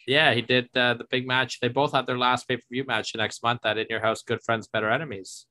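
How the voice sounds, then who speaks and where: A man co-hosting a casual wrestling podcast, steering the talk along with quick jokes. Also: mid-range voice, flat delivery, pushed and bright. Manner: steers the show, joking and moving things along. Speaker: podcast co-host.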